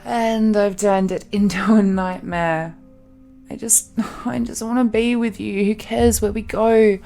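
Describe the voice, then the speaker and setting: A woman playing a possessive, adoring dragon-blooded fiancée in an intimate romantic audio roleplay, halting and emotional, with sighs and murmurs. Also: medium pitch, fairly even intonation, faint music behind. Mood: remorseful, frustrated. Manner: breathy sighs, halting, emotional delivery with murmurs and soft laughs.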